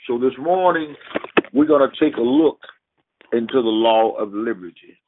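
A man preaching a sermon over a conference call, speaking emphatically and deliberately in short, halting phrases. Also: mid-range voice, background noise. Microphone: telephone. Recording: tinny narrowband phone line.

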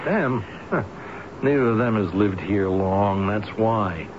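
An actor playing a kindly, folksy friend in a radio drama, hesitant and stammering, full of sympathy and concern. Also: male voice, lowish voice, takes it slowly. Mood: sympathetic. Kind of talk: 1970s radio drama acting. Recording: noisy muffled old radio broadcast recording.

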